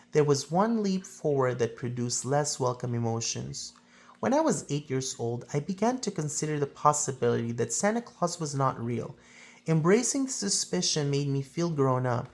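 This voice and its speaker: A woman reading a children's picture book aloud as a storyteller, with theatrical phrasing and drawn-out emphasis. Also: deep voice.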